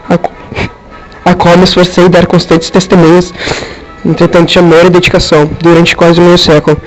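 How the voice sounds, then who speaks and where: A man's voice: on the high side, natural voice, poor audio. A man playing an old, weary emperor who delivers a dignified and sorrowful formal farewell in an amateur radio drama. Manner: formal, solemn farewell address.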